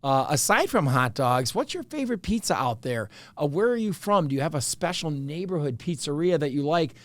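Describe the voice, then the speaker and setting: Middle-aged chicago guy rambling jokey on his podcast, kinda high voice, dry close sound. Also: very animated, a little fast, no room sound. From Evanston, Illinois, US. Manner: rambling, jokey, full of fillers. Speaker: podcast host. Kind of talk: casual comedy chat.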